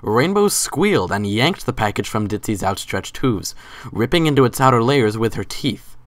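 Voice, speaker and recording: A man's voice: medium pitch, lively intonation, moderate pace, dry recording. A man giving a scripted dramatic reading as the narrator of a story.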